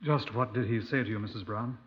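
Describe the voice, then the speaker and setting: An actor playing a composed, courteous Scotland Yard inspector in a formal, measured 1950s radio drama style. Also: male voice, mid-range voice, slightly rough, lightly acted. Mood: inquiring. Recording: muffled 1950s radio recording.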